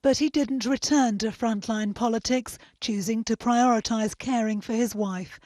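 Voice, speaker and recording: Higher-pitched woman news reporter. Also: fairly even intonation, moderate pace, a little mellow, no room sound. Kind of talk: scripted obituary narration.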